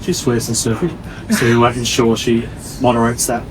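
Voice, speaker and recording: A man hosting a conversational interview in wordy, halting phrases with repeated words. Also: medium pitch, flat delivery, recorded from a distance, noisy background. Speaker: interviewer, host.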